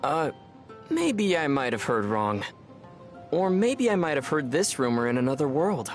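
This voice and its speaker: A man's voice: on the high side, sing-song, measured pace. A man voicing a young, curious, mild traveler from another world in a video game, musing and understated, with music behind. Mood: musing, conspiratorial. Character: young male otherworldly traveler, curious, mild, dryly puzzled. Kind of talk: video game character dialogue.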